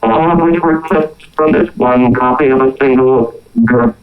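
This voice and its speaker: A man's voice: mid-range voice, a little slow, narrow, tinny audio, faint music behind. A man reading scripted, moralizing narration, turned into a robotic synthesizer voice by a vocoder. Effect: robotic vocoder with synthesizer tones. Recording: band-limited old recording through vocoder.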